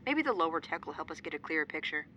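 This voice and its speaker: A brisk young female ghost-hunting tech, played by a woman in an audio drama. She talks fast in a lowish, businesslike voice, with ambient noise around her. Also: big pitch swings, bright, forceful tone, muffled recording, full character voice. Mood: focused. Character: brisk, technical young female paranormal investigator.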